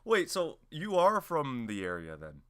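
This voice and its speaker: A man's voice: mid-range voice, wildly swooping pitch, unhurried, a bit bright, no room sound, studio quality. A man hosting a casual interview chat, laid-back and stumbling over words, calling his guest "man" and "dude". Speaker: podcast host. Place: city in Alberta, Canada.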